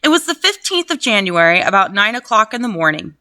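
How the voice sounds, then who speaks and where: A woman's voice: mid-range voice, very animated, brisk, sharp tone, no room sound. A woman reading a classic novel aloud at home, breaking off for casual, laughing, profane asides. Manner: laughs often, swears, chatty asides. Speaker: reader and host. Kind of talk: casual book reading with commentary.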